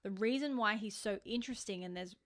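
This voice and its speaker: A woman's voice: mid-range voice, fairly quick, a bit bright. An Australian woman co-hosting a true crime podcast, telling the story in an informal, sweary, conversational way.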